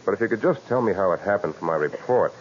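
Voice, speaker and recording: Deep-voiced, swinging-toned folksy radio cop. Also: male voice, lively intonation, quick pace. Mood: helpful, calm. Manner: folksy, plain-spoken. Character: steady, kindly, plain-spoken motorcycle policeman. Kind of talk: 1940s radio mystery acting. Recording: dull, hissy 1940s radio recording.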